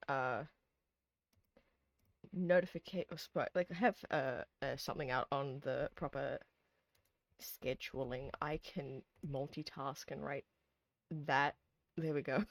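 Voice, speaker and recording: A tired, hoarse streamer who chats casually with viewers while replaying a horror visual novel, rambling with lots of ums and restarts. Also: mid-range voice, no room sound. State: hoarse, sleepy. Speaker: streamer host.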